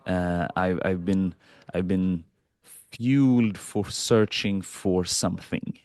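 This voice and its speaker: Man, low-pitched, from Sweden, reflective casual talk, halting with repeated phrases, dry close sound. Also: darkish tone, dry recording. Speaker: podcast participant.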